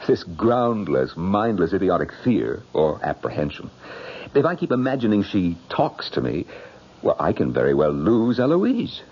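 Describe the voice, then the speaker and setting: Radio drama: defensive ladies' man, low-pitched, halting, sardonic, on a muffled old broadcast. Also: very animated, breathy edge, exaggerated voice. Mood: agitated, cynical. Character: evasive, troubled bridegroom, glib and defensive ladies' man.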